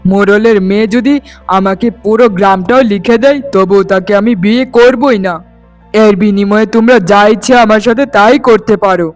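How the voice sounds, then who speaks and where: A man's voice: high voice, fairly even intonation, a little fast, soft background music, lightly acted. A man voicing a defiant, lovestruck young villager in an earnest, declamatory cartoon melodrama style.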